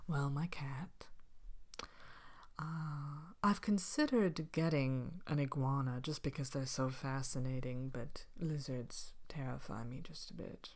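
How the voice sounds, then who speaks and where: Woman playing a soft, whispery, low-voiced evil queen. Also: slow, full character voice. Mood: wickedly chatty. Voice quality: soft-spoken, often breaking into whisper. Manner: haughty, languid, dryly wicked. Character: vain, haughty, wicked fairy-tale evil queen. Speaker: character host of an ASMR show. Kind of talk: whispered ASMR character monologue answering questions.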